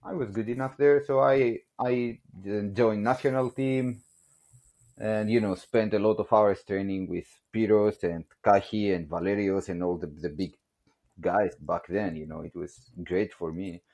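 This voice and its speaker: A man's voice: fairly low pitch, steady tone, a little slow, a little mellow. Greek-accented man telling a story. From Greece. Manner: chatty and jokey, laughs often, filler "you know". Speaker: guest, barbell company production manager. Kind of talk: casual podcast storytelling.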